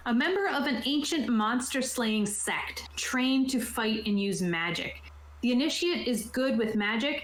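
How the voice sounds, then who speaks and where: A woman with a mid-range voice talks through the rules of a tabletop game. She moves between reading aloud and informal, conversational explanation. The room around her adds a clear echo. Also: medium pitch, fairly bright tone, a little hiss or hum. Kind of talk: casual explanation, partly reading from a rulebook. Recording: roomy, echoey sound.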